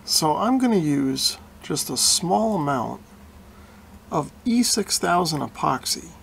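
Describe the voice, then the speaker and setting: A man with a fairly high voice and plenty of vocal fry narrates a hands-on repair tutorial. His delivery is informal and folksy, with a self-deprecating streak. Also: very animated, slow pace, fairly bright tone, slight background noise. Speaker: radio repair hobbyist and video host.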